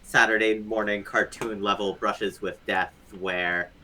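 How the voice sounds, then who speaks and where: A man's voice: on the low side, steady tone, measured pace, bright, forceful tone, a little hiss or hum. A man speaking as a game developer guest in a casual interview, explaining things in an analytical, detailed way.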